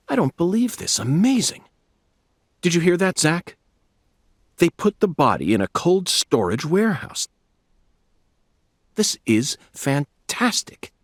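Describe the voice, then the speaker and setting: Quirky american fbi agent for a game, formal n theatrical, really lively pitch, dry studio sound. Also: male voice, no room sound, exaggerated voice. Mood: amazed, excited. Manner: formal, theatrical, musing aloud to an unseen companion. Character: eccentric, self-assured male FBI special agent. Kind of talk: video game voice acting.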